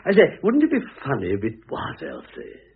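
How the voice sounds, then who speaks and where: A middle-aged man with a British accent acting in a classic radio drama as a peevish, gossipy gentleman admirer, chatty and blustering in a theatrical way. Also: fairly low pitch, huge pitch swings, brisk, vocal fry, breathy edge, exaggerated voice. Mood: amused, conspiratorial. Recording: muffled, tinny old radio recording.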